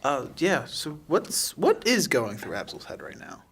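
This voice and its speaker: Halting man narrating a tabletop game. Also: a little high, huge pitch swings, fairly bright tone, slightly rough. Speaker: game master of a tabletop podcast.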